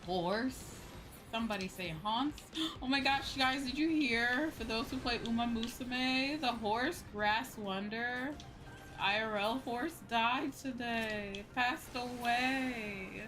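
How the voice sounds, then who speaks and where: A woman's voice: on the high side, slow pace. A woman livestreamer talking casually and informally to her viewers while she plays, with some casual swearing. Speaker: livestreamer playing online games and chatting with viewers. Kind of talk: casual gaming stream chat. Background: game music.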